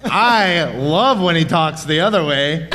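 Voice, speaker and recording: A man appearing as a guest wrestler on a live podcast, easygoing and quick to laugh. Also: fairly high pitch, expressive, pushed and bright.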